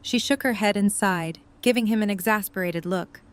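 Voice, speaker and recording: A woman's voice: medium pitch, normal speed. A woman narrator reading scripted story narration for an audio drama.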